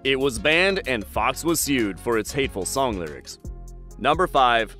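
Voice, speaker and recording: A man's voice: medium pitch, animated, measured pace, pushed and bright, faint music behind. Wry male countdown narrator. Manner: wry, dry asides.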